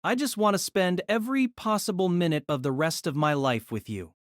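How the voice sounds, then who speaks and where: A woman playing a gentle, honest teenage boy in love, in an audio drama. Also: lowish voice, a little fast, no room sound, clean studio sound, lightly acted. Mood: tender.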